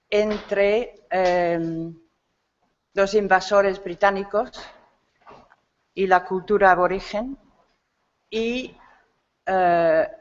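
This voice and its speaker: A woman giving a conference lecture, halting as she searches for words and corrects herself. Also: medium pitch, muffled recording. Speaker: academic speaker at a symposium. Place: conference hall.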